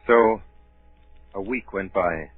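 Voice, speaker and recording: An actor playing a grave storyteller, narrating in the first person in a measured, deliberate way for a 1930s radio drama. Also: male voice, low-pitched, husky, faint music behind, exaggerated voice. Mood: grave, ominous. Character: grave storyteller recalling a dreadful memory. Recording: muffled, faintly noisy 1930s radio recording.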